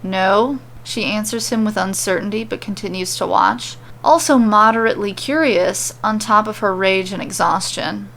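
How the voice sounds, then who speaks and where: A woman's voice: mid-range voice, fairly even intonation, normal speed, gravelly, hardly any pauses, a bit bright, slight background noise. A woman narrating scripted comedic fan fiction as a storyteller.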